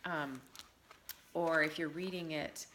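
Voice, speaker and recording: A woman's voice: low voice, fairly even intonation, slow pace, fairly bright tone, slight background noise. A woman teaching in an informal, explanatory way, as a trainer showing teachers how to prompt young children. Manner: acts out example questions as if to young children. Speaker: early literacy teacher trainer. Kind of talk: informal instructional talk with demonstrations of prompts to children.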